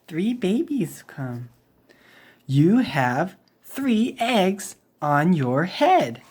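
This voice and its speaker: A woman's voice: lowish voice, sing-song, extremely slow, darkish tone, breathy edge. A woman reading a children's picture book aloud in a playful way, with little interjections and sound effects.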